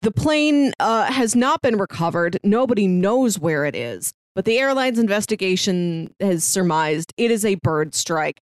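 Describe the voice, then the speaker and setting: A woman's voice: mid-range voice, animated, a little slow, few pauses, no room sound. A woman with a mid-range voice talks casually as a podcast co-host, walking through a review in conversational style. The sound is dry, with very little room on it. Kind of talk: casual review and plot recap.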